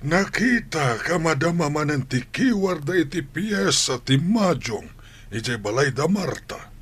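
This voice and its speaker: A man's voice: a little high, sing-song, a little slow, a bit bright, breathy edge, full character voice. An actor with an Ilocano accent playing a stern but caring older grandfather in a radio drama. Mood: concerned. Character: stern, caring grandfather scolding his daughter-in-law.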